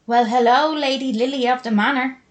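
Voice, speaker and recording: A woman's voice: on the high side, expressive, moderate pace, highs cut off, lightly acted. A woman voicing a chirpy, teasing, down-to-earth Irish woman with a Dublin accent in a story. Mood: cheeky, loud.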